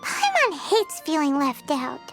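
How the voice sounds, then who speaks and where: Tiny floaty game sidekick, super high squeaky voice, blunt and whiny, talks about herself in third person. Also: female voice, huge pitch swings, unhurried, exaggerated voice. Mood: excitable. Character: small chatty floating female companion. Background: game music.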